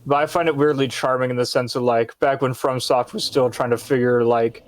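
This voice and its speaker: A man's voice: medium pitch, steady tone, a little fast, dry recording. A young man chatting casually about a game with a friend, rambling with lots of fillers and teasing. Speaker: friend co-playing. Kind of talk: casual gaming chat.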